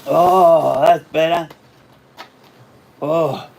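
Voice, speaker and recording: An Australian man hosting a casual livestream, chatting with viewers in a rambling way, his voice slightly hoarse after hours of talking. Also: on the high side, fairly even intonation, very slow, a little mellow. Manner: rambling, chatty, laughs often. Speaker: livestream host. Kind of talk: casual live chat with viewers.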